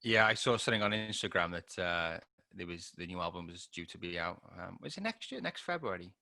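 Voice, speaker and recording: A man's voice: low-pitched, expressive, a little fast, creaky, pushed and bright, no room sound. A man co-hosting a film review podcast, talking casually and bluntly with informal, sweary phrasing.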